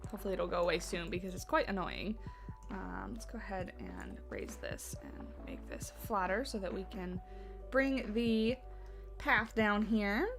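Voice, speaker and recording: A congested, sniffly woman hosts a relaxed game livestream. She rambles with many fillers and restarts and reads viewers' messages aloud. Faint music plays quietly behind her. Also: mid-range voice, unhurried, creaky. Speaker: livestream host. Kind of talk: casual livestream chat.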